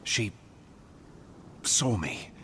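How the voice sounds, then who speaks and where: An actor playing a serious, terse adventurer in video game dialogue. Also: male voice, drawn-out words. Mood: grave. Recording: loud music behind voice.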